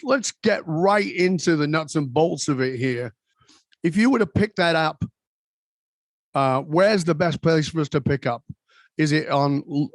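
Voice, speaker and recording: Deliberate male podcast host, slightly high voice. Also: dry recording. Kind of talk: conversational podcast introduction and interviewing.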